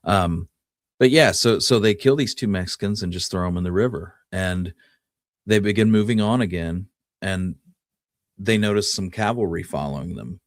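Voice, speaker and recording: Deep-voiced man telling a history story. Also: no room sound, clean studio sound. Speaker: podcast co-host telling the story.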